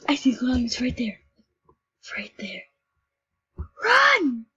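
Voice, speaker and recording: Excitable sick woman, shrieks and whispers. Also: high-pitched, drawn-out words, highs cut off, slight background noise. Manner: excitable, swings between shrieks and hushed whispers. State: sick and shivering with cold. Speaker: game video creator. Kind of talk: casual gameplay commentary.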